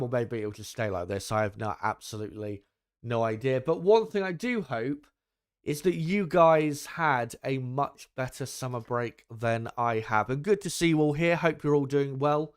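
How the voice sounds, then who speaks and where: A young man hosts a live watchalong, chatting loosely and peppering his talk with ums and little asides to the audience. His voice sits at an everyday pitch but carries a slightly deeper tone than it once did. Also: clear, resonant tone. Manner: rambling, frequent ums and asides to viewers. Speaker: livestream host. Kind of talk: casual live commentary chat.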